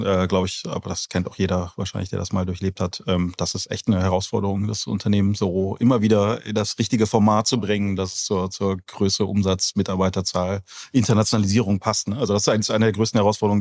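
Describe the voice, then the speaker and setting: A man's voice: lowish voice, little pitch movement, fast, hardly any pauses, a little mellow. A middle-aged man answering as an interview guest, casual and reflective, feeling his way through phrases. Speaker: interview guest, company founder.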